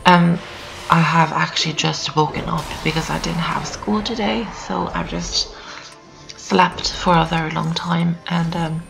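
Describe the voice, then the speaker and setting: A teenage girl doing casual gameplay commentary, hoarse from just waking up, chatty and repetitive with lots of fillers. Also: lowish voice, fairly even intonation, unhurried, fairly bright tone, soft background music, poor audio. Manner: chatty, repeats herself, lots of "um" and "so". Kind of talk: casual let's-play commentary. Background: builders' noise outside.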